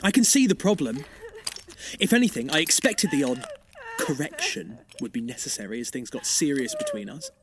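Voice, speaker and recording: A man's voice: on the high side, wildly swooping pitch, moderate pace, background noise. Wildly swooping, sneering controlling man. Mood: sneering, contemptuous. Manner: sneering, condescending. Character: menacing, controlling fiancé, sneering and cruel. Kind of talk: audio drama acting.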